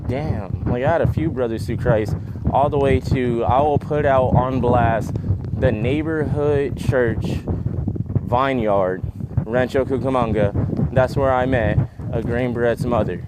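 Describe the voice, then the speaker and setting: A man's voice: mid-range voice, unhurried, very noisy. A man, rambling restlessly in a vlog while out in a residential neighborhood, often trailing off. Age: 20s. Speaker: vlogger.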